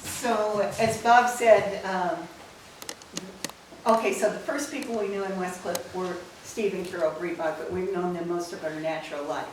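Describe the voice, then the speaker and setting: A woman's voice: on the low side, steady tone, moderate pace. A woman telling an informal, rambling anecdote as a speaker at a memorial gathering. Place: back room at a memorial gathering. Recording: echoey noisy room, far from mic.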